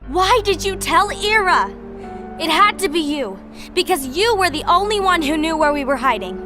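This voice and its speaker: A woman's voice: very high-pitched, sing-song, moderate pace. An actress voicing an anxious, protective young woman in a video game cutscene, with music behind. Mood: worried. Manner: soft, worried. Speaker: game character.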